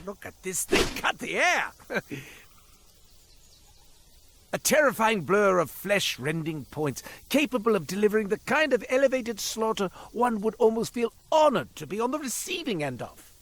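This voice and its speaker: A man's voice: sits high, very animated, moderate pace, a bit bright, exaggerated voice. An actor playing a cursed, self-pitying merchant in a video game, with wry, florid, melodramatic salesman patter. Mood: showy sales patter. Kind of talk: scripted video game character monologue.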